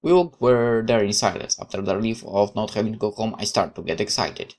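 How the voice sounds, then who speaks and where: A woman's voice: a bass voice, brisk, a little mellow. A woman reading a visual novel aloud expressively, with casual commentary as she plays.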